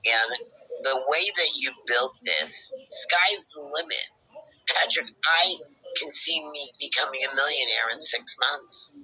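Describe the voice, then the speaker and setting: A woman's voice: very deep voice, expressive, unhurried, sharp tone, poor audio. A woman who leads a group, speaking with enthusiasm on a video call. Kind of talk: casual meeting talk.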